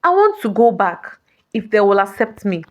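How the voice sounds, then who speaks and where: A woman voicing a kind, earnest village girl in a folktale, speaking softly. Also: mid-range voice, sing-song, moderate pace, lightly acted. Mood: earnest, resolute. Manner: soft, earnest.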